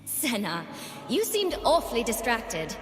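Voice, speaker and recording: A woman playing a young doll-like champion in a video game, gentle but resolute, speaking with earnest precision. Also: fairly high pitch, wildly swooping pitch, measured pace. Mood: curious, friendly. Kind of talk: video game character voice lines. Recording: long reverb, music underneath.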